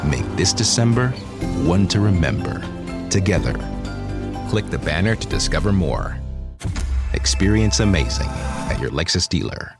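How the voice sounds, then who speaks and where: A man narrating a polished holiday car commercial in a smooth sales read. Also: sits low, lively intonation, normal speed. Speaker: ad voice-over. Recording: studio ad over loud music.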